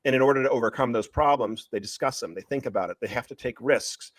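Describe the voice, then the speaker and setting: A man speaking as an author guest in an interview, pitching his books in an emphatic, opinionated way and repeating phrases for stress. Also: quick pace, no echo. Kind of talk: passionate conversational pitch of a book series.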